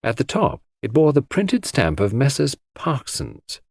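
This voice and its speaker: A man reading a first-person mystery audiobook in a measured, dramatic storytelling manner. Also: mid-range voice, very animated, husky, dry recording, studio quality. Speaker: audiobook narrator.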